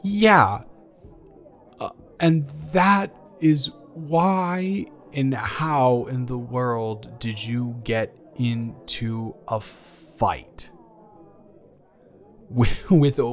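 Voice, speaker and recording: A young man playing an awkward, caring college-age ex-boyfriend in an improvised intimate audio roleplay, earnest and stammering as he rambles. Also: mid-range voice. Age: young adult.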